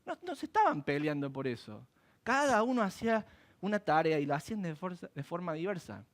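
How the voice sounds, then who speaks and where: A man preaching a sermon in church, animated and asking rhetorical questions. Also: high-pitched, a little fast. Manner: animated, acts out quoted voices and asks rhetorical questions. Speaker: pastor.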